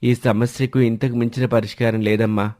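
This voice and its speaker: A concerned, patient family man in a moral story, played by the male storyteller. His voice sits at a medium pitch as he reasons with his relatives as husband and brother. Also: flat delivery, normal speed, mellow, lightly acted. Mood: grave, persuading. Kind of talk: dramatized family story dialogue.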